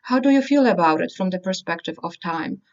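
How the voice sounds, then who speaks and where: A woman host reads out prepared interview questions in a formal, measured way. Her voice is a little low, and the audio is dull, like a lo-fi video call. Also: lively intonation.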